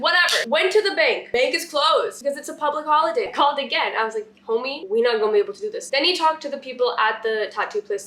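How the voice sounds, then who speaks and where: Chatty, slightly raspy young woman vlogger. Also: on the high side, roomy sound. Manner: chatty, expressive, swears casually. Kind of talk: casual vlog chat to camera. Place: indoor room. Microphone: camera set up in front of her.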